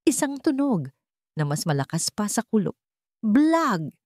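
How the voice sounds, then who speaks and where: A woman's voice: mid-range voice, very animated, a little slow, darkish tone, no echo. A woman storyteller reading a scripted, dramatic story in a close voice-over.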